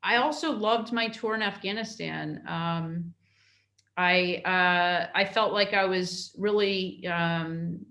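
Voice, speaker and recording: A middle-aged woman from New York, a consul answering interview questions in a halting way, with many fillers and restarts. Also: fairly low pitch, fairly bright tone, echoey room. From New York, United States.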